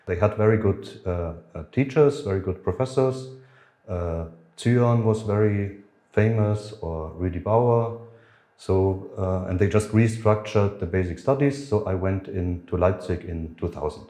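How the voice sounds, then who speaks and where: A middle-aged man, a podcast guest who talks in a halting way, full of fillers and restarts. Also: low-pitched, dark tone, echoey room. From Germany. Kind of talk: conversational podcast interview.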